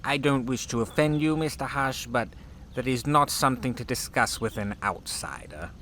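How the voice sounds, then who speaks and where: An actor playing a stiff, reverent, guarded official in a sci-fi audio drama, speaking with solemn formality and deference. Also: male voice, mid-range voice, moderate pace, a bit bright, natural voice. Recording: faint background noise.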